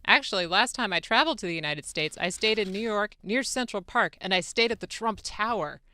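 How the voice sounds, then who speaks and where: A woman's voice: mid-range voice, a little fast, bright, forceful tone, no echo, natural voice. A woman voicing an eager, deferential foreign president in a formal, polite dramatic reading. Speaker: radio show producer. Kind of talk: dramatic reading of a phone-call transcript. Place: radio studio. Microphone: close studio microphone.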